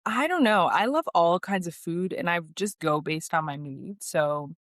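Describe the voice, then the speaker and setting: American woman, slightly low voice, very dry sound. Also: expressive, moderate pace, a bit bright, no room sound. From New York, United States. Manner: informal, conversational, frequent "like". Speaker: interview guest, actress. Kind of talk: casual podcast chat.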